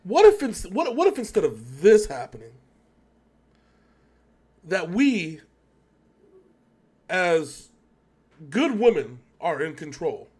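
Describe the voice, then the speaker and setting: A man's voice: sits high, wildly swooping pitch, slow, pauses often, a little mellow, slightly rough. A man hosting a casual video reaction, speaking in an emphatic, rambling way as he thinks aloud. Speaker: reaction show host.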